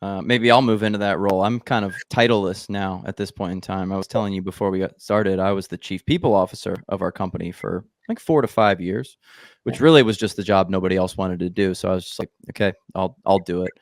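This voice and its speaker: Low-voiced man hosting a podcast. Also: quick pace, no room sound. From United States. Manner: joking, relaxed, heavy use of like. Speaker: podcast host. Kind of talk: casual podcast interview. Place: indoor home studio. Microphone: headphones and large studio mic.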